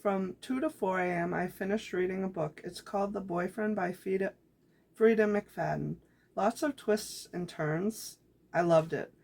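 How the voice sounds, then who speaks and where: Woman vlogger, calm diary-style reading, some vocal fry and rasp. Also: medium pitch, measured pace. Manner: calm, gentle reflective reading. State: voice getting raspy. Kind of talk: reading her handwritten journal aloud.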